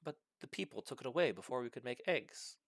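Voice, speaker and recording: A man's voice: mid-range voice, lively intonation, quick pace, no echo, studio quality. A man voicing a lovesick, naive male dragon in a storybook, earnest and gushing. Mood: earnest, hurt.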